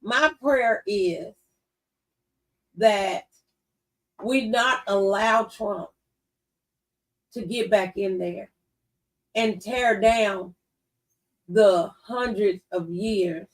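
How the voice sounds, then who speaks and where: A woman's voice: medium pitch, very slow, long pauses, distant mic, rough recording. A woman hosting a talk show, speaking conversationally and earnestly and repeating phrases for emphasis.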